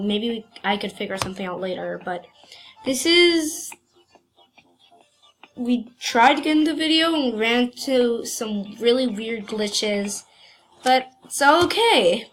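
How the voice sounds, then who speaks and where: Woman with a cold, rambling gameplay commentary, slightly high voice, slow delivery, faint music bed. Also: poor audio. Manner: hesitant and rambling, many fillers. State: has a cold. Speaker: gaming channel host. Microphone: computer screen recording.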